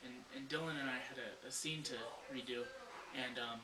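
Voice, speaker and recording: A man's voice: medium pitch, fairly even intonation, brisk. A young man rambling with lots of "you know" while joking about his video partner in a mock interview. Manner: rambling, full of you-knows. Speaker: video creator. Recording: echoey room with crowd noise.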